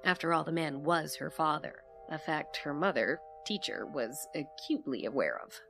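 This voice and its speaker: Measured female investigator, low-pitched, creaky, scripted mystery narration, music behind. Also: animated, fairly bright tone, heavily acted. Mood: measured, reflective. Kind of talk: scripted first-person audio drama narration.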